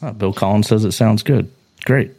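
A man's voice: lowish voice, moderate pace, dark tone, slight background noise. A man hosting a casual podcast, joking around and chatting easily with his co-host. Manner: joking, playful. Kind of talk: casual chat.

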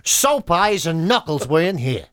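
A man's voice: fairly high pitch, big pitch swings, measured pace, a bit bright, no echo, clean studio sound. Man putting on a drawling American gangster. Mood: menacing. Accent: caricature American. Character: shady wisecracking caricature American gangster.

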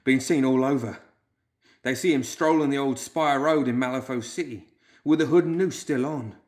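A man voicing a frightened, obsessive clerk in a story, whimpering and wailing in drawn-out, fearful speech. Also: medium pitch, natural voice. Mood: haunted, fearful.

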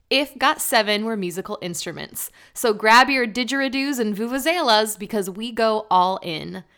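Brief: upbeat woman podcast host, a touch high-pitched, laughs easily, talks into a close studio mic. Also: sharp tone. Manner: playful, laughs easily, runs the game. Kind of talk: casual podcast chat. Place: small room, sitting at a TV tray. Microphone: studio microphone, seated close.

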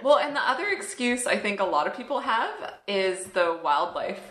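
A woman's voice: mid-range voice, big pitch swings, moderate pace, roomy sound, heavy background noise. A woman hosting a podcast in casual chat, bubbly and explanatory, laughing often. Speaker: podcast host.

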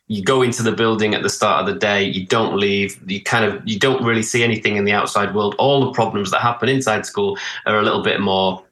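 A man's voice: fairly low pitch, pushed and bright, roomy sound, poor audio. A man in his thirties from northeast England with a northern English accent, a podcast guest talking casually and hesitantly, with frequent restarts. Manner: hesitant, many restarts and "sort of". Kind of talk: casual interview talk.

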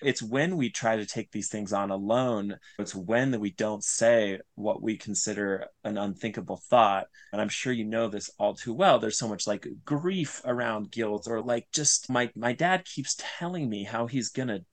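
A man's voice: fairly low pitch, normal speed, hardly any pauses, dry recording. A man speaking as an interview guest in casual, reflective conversation, earnest and informal.

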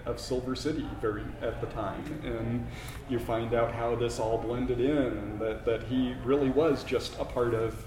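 A man, an author, talking casually about his research, halting with many fillers and repeats. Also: mid-range voice, echoey room, rough recording. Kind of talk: casual conversation about writing.

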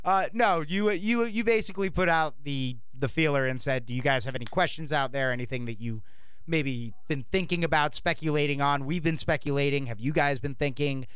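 A male podcast host talking in a loose, rambling way, full of restarts and playful asides. His voice sits a little high and comes through smooth and resonant. The recording is dry, with no room sound. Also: brisk, a bit bright, no room sound. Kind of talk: casual podcast chat.

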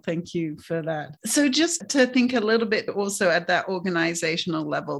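Interview host: reflective and thoughtful, gravelly mid-range voice, asks long questions. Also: medium pitch, fairly bright tone.

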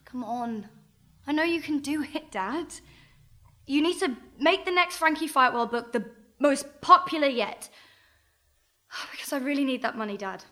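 A grown daughter in a radio play, coaxing her father for money. She speaks softly and wheedles. Her voice is high and bright. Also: expressive, unhurried, pauses often, exaggerated voice. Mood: pleading. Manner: pleading, soft, wheedling. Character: pleading adult daughter deep in debt.

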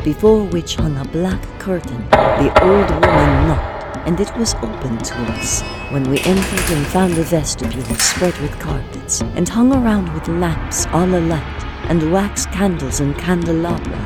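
A woman playing a wealthy lady who recounts her tale in measured, ornate, archaic English in an audio drama. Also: low-pitched, expressive, over loud music. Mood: solemn storytelling.

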